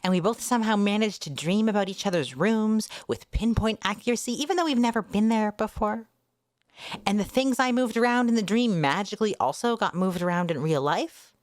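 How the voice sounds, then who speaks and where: A woman's voice: mid-range voice, brisk, no echo, studio quality, natural voice. A woman voicing an earnest, goofy teenage boy in a storybook reading, slangy and pleading in an exaggerated way. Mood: incredulous.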